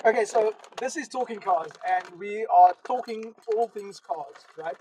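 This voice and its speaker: Host, energetic interviewer, light hiss behind. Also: mid-range voice, poor audio. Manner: enthusiastic, quick interjections. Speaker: host of a car show. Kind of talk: interview hosting and scripted intro.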